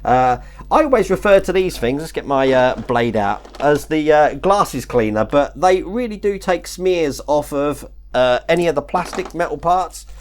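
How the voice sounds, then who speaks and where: A man with a hoarse, chesty voice talks casually through an unboxing on his hobby channel. He rambles, piles on fillers and drops small laughs between thoughts. His voice sits a little higher than most men's. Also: expressive, moderate pace, a little hiss or hum. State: recovering from chest infection, hoarse deep voice. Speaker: model-kit channel host.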